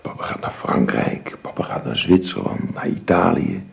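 Deep, gentle, halting middle-aged father. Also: lively intonation, creaky, husky, full character voice. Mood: tender. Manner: halting, soothing. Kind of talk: radio drama acting. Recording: muffled, noisy old radio recording.

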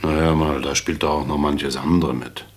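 An easygoing, courteous student lodger in a radio play. His voice is exceptionally deep, and he talks in a measured, dry way with a sceptical, bemused edge. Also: steady tone. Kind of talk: German radio play acting.

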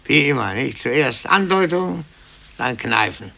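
A man playing a timid, blustering office clerk in a 1950s German radio drama, stammering and agitated, with a gravelly, husky voice. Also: medium pitch, expressive, measured pace, bright, forceful tone, exaggerated voice. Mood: suspicious, agitated. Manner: agitated, stammering, swinging between bluster and cowering. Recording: 1950s radio play from cassette.

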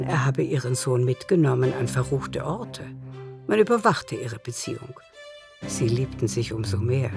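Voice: mid-range voice, creaky. Narrator for a radio drama, literary storytelling, music playing behind.

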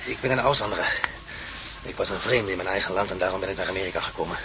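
Radio play defector: male actor as a formal, earnest Prussian aristocrat, German accent, low voice, clearly noisy recording. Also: very fast, lightly acted. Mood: earnest, explaining. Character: courteous Prussian nobleman, defector from a villain's camp. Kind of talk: Dutch radio drama acting.

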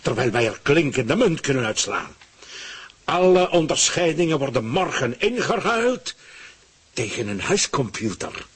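An actor playing a pompous, scheming government ruler in a radio satire, dictating in an imperious, declamatory way. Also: male voice, medium pitch, sing-song, unhurried, slightly rough, full character voice. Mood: smug scheming. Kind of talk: Dutch-language radio satire acting.

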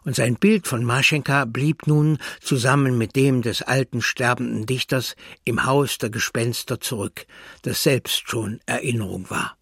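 Radio play narrator: male, very husky, unhurried, reading literary prose. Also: mid-range voice, fairly bright tone, dry recording, clean studio sound.

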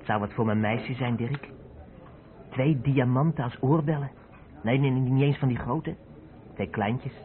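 A man acting an eager, naive young driver's mate in a Dutch radio play, boyish and excitable. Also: medium pitch, measured pace, long pauses, crowd noise, close to an everyday voice. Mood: dreamy, wistful. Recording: tinny old radio recording, busy café noise.

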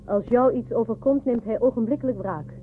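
A loyal conspirator in a space radio serial. The voice is high for a man, grave and deliberate. It has a tinny, phone-like sound with faint noise. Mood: conspiratorial, grave. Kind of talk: radio drama acting.

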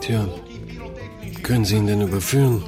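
An actor playing a matter-of-fact Italian police detective in a radio crime drama. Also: male voice, on the low side, normal speed, over music, close to an everyday voice.

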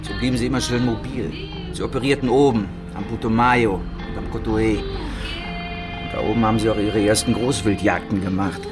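Actor as cynical male informant, low voice, terse, sardonic, radio drama over music. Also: moderate pace, close to an everyday voice. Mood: matter-of-fact.

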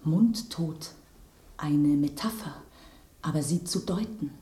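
Mellow, theatrical, melancholy flower, roomy sound. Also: female voice, low voice, slow pace. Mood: brooding, fearful. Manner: dramatic, literary, poetic exclamations. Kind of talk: German radio drama acting.

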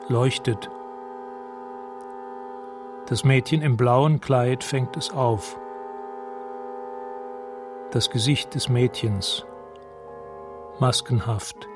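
A man narrating a radio drama in a detached, precise, scene-setting way. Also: mid-range voice.

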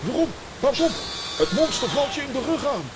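A man playing an excitable, grumbling, bumbling comic hero in a children's audio play, theatrical and blustering. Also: very high-pitched, normal speed, heavy background noise. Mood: alarmed.

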